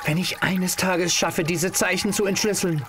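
A man's voice: high-pitched, fairly even intonation, normal speed, noisy background, lightly acted. A young man playing an earnest teenage boy adventurer in an audio drama, sometimes hesitant. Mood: earnest, moved.

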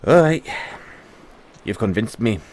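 Man voicing a kindly dragon rider mentor, lowish lively voice, unhurried, idealistic, fantasy audio roleplay. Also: exaggerated voice. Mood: encouraging, hopeful.

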